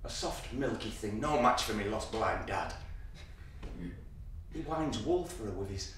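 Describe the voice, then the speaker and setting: A man's voice: high-pitched, animated, a little slow, a little hiss or hum, full character voice. An actor playing a frightened, defiant eight-year-old boy who swears and blurts in a solo stage monologue, heard in a very echoey space from far off. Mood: hushed, haunted. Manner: hushed then shouting in panic.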